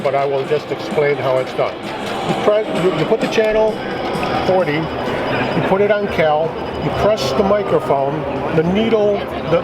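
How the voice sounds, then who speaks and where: A man from a radio company giving a step-by-step product demonstration on a busy trade show floor, explaining settings with some restarts. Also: high-pitched. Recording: loud crowd and noise. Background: loud crowd chatter.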